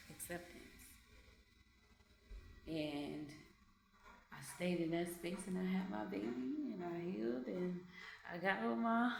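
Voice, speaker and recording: A woman in her late twenties talking through her thoughts in a loose, rambling way at home, repeating phrases and laughing softly between ideas. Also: mid-range voice, gravelly, slight background noise. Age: 20s. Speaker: vlogger. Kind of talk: reflective casual monologue. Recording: echoey room with faint ambience.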